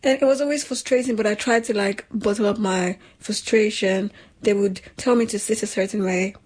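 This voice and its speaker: Husky young woman, animated and slangy. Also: medium pitch, normal speed. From Nigeria. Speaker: podcast co-host. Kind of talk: casual chat.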